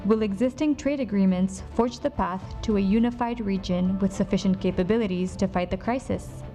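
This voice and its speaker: A woman reading a scripted promotional voice-over in an even, polished way. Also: mid-range voice, a little fast, faint music behind. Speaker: voice-over narrator.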